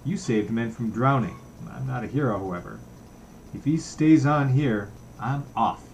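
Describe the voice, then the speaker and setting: A man's voice: mid-range voice, lively intonation, normal speed, vocal fry, a little mellow, a little hiss or hum, rough recording. A man reading a story aloud, voicing a weary, displeased and uneasy young man who speaks earnestly and guardedly. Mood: agitated.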